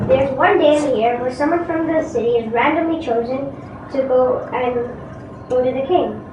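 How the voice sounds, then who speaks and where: A woman's voice: sits high, normal speed, lo-fi sound, close to an everyday voice. Female reader as friendly herder boy in amateur play, plain talk, far from mic, noisy room. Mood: matter-of-fact. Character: poor friendly buffalo herder boy, matter-of-fact. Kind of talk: amateur readers theatre performance. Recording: echoey room from far, clear noise.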